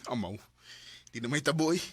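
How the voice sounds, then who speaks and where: An actor playing an anguished young boyfriend in a radio drama, speaking emotively and colloquially with a Cebuano Filipino accent. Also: male voice, medium pitch, lively intonation, brisk, a bit bright, no echo. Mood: casual, defensive. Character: anguished young boyfriend torn by temptation and betrayal.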